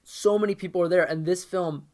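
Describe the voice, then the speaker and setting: A man's voice: high voice, steady tone, fairly quick, darkish tone. A man co-hosting a casual podcast, wry and jokey, steering the talk between segments. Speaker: podcast co-host. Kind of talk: casual chat.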